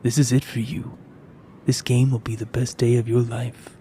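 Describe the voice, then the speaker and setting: A man's voice: medium pitch, level tone, moderate pace, dark tone, slight background noise, close to an everyday voice. A man playing a smug teenage football jock and bully in an audio drama, mocking and condescending as he taunts.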